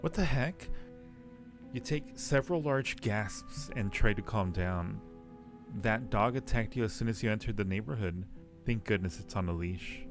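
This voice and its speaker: Man, deep-ish gravelly voice, game streamer, casual musing, reads on-screen text aloud, music behind. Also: expressive, normal speed.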